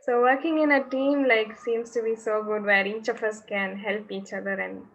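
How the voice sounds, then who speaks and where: A woman's voice: fairly high pitch, fairly even intonation, moderate pace, a little hiss or hum. A young woman interviewing a guest, asking casual questions and often saying "like". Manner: casual, uses filler "like". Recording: dull lo-fi audio.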